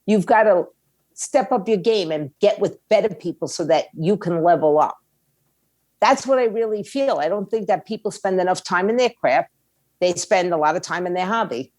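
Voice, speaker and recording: A woman's voice: lowish voice, moderate pace, darkish tone, no room sound, studio quality. A woman giving candid, conversational advice as an interview guest, blunt and plain-spoken. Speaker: music attorney and label owner, interview guest.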